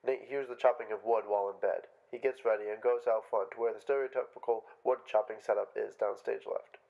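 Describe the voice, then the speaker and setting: A man's voice: on the low side, steady tone, fairly quick, gravelly, warm tone, muffled recording. A man reading stage directions as scripted narration for a play.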